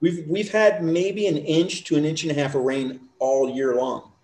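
A man's voice: fairly high pitch, fairly even intonation, normal speed, darkish tone, reverberant. A middle-aged man from the United States, chatting easily as a podcast guest. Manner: easygoing, chatty storytelling. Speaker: interview guest. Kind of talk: casual chat.

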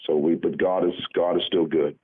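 A man in his sixties from the Bahamas speaks as a guest pastor in a radio interview. His voice is low, and he talks earnestly, feeling his way through sentences. It comes over a thin, muffled phone connection. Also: flat delivery, husky. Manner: measured, halting, earnest.

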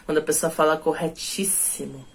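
A Brazilian woman with a Brazilian Portuguese accent performing spoken word poetry in a declamatory way, with sudden drops and surges in pitch and loudness. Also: fairly even intonation, brisk, husky, poor audio.

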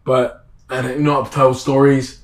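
A man's voice: mid-range voice, level tone, unhurried, a loud crowd. Slangy young man in an echoey room. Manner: slangy, emphatic, repeats phrases. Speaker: interview guest, comedy event host. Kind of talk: casual conversational interview.

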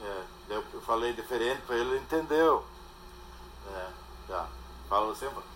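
An older man talks in a casual, halting way, pausing and tagging his sentences with a questioning word. His voice sits at an ordinary pitch for a man. A faint ambient hum runs underneath. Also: expressive, fairly bright tone, low-quality recording. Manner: hesitant, trails off with "né?". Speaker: interview guest. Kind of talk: casual conversation.

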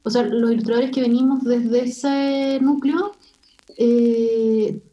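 A woman's voice: on the high side, steady tone, slow pace, dark tone. Hesitant Chilean woman, muffled sound. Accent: Chilean Spanish. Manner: thoughtful, hesitant with many fillers. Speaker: illustrator guest. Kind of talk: casual interview conversation.

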